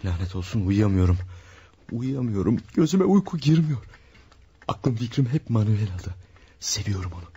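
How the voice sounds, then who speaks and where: A man's voice: lowish voice, big pitch swings, moderate pace, slightly rough, lo-fi sound, exaggerated voice. An actor in a radio drama playing a tormented middle-aged husband who is obsessed with another woman. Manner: pleading, then shouting in anger.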